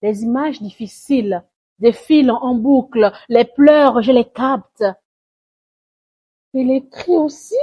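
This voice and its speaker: A woman recites a poem dramatically, in a solemn, mournful and deliberate way, swelling and hushing her phrases. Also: a little high, husky, muffled recording. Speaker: poetry reciter. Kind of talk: dramatic spoken-word poetry recitation.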